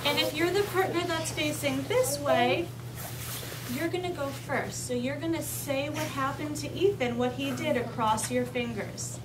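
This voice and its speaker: High-voiced woman teaching young children, distant mic. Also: unhurried. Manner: simple instructional phrasing with frequent check-in questions. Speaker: kindergarten teacher leading a read-aloud. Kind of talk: interactive read-aloud lesson to young children. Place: kindergarten classroom with children. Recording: distant mic, clearly noisy room ambience.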